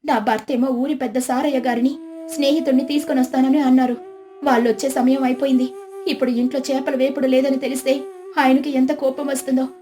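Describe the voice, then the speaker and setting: A woman's voice: sits high, flat delivery, fairly quick, mellow, close to an everyday voice. A woman storyteller voicing a clever, theatrical village housewife with an exclamatory, dramatic manner. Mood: distressed, panicked.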